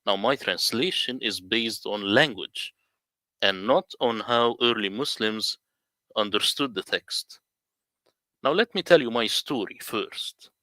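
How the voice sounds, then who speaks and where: Bright-voiced Middle Eastern man, native Arabic. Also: lowish voice, expressive, slow, dry recording. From Middle East. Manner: earnest storytelling, argumentative. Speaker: guest translator. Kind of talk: casual livestream talk.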